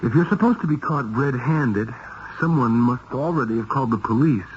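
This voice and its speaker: A hard-boiled male detective, played by an actor, speaks in clipped, commanding phrases at a medium pitch. He sounds like vintage radio mystery drama, recorded dull and with audible hiss. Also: animated, normal speed, natural voice. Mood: urgent, commanding. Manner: clipped, direct questioning. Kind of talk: 1970s radio mystery acting with first-person narration.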